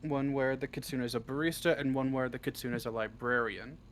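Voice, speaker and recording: A man's voice: mid-range voice, fairly even intonation, brisk. A man giving casual, unscripted answers as an interview guest, talking freely and swearing often. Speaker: interview guest, audio roleplay script writer.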